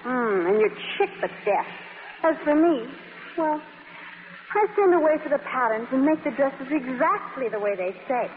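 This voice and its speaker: A woman's voice: high voice, expressive, normal speed, a little hiss or hum. An actress playing a blunt, wistful girl in 1940s radio comedy-drama, with a frank, earnest, melodramatic delivery. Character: blunt, wistful, eavesdropping girl admiring an older woman. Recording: reverberant, tinny 1940s radio broadcast.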